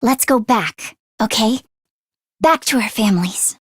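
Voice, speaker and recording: A woman voicing an earnest, anxious teenage girl mechanic in an anime-style video game, expressive and shifting between timid and sassy. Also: a little high, unhurried, slightly rough, no room sound, clean studio sound. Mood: soft, affectionate. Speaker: voice actress.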